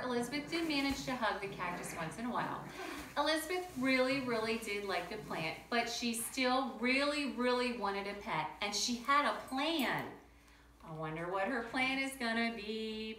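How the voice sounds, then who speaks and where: A woman's voice: on the high side, echoey room, slight background noise, low-quality recording. A woman reading a children's picture book aloud at home, drawing out words and stopping to talk to young listeners. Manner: animated, draws out words, talks to kids directly. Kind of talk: children's read-aloud with asides. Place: home room with pets nearby.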